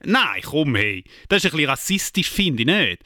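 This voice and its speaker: A man with an Eastern Swiss German accent, co-hosting a podcast and ranting in an animated way. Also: fairly high pitch, normal speed, no echo, studio quality. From Eastern Switzerland. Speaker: podcast co-host. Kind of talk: casual chat.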